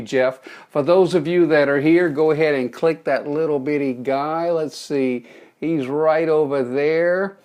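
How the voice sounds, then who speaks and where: A man's voice: fairly high pitch, darkish tone. A middle-aged man with a Cajun accent, hosting a live phone stream, giving a folksy motivational talk and greeting viewers by name. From South Louisiana.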